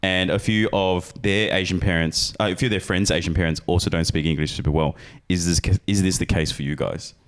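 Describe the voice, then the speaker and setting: A man co-hosting a podcast, reading a forum post aloud in a brisk, straight-through way. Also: deep voice, fairly even intonation. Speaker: podcast co-host.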